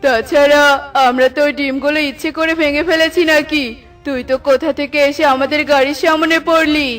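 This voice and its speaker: A man voicing a brash bird swindler in a cartoon, with a loud, theatrical manner. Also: very high voice, little pitch movement, fairly quick, soft background music. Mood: insolent. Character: brash, insolent bird swindler named Kalu. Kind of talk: cartoon acting.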